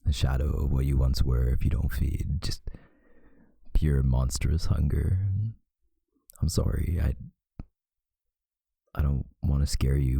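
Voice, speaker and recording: A man playing a tender, protective vampire lover in an intimate ASMR roleplay, speaking in a husky, hushed voice with deliberate pauses. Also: no room sound, clean studio sound, heavily acted. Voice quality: very deep, gravelly, husky, breathy, often near a whisper.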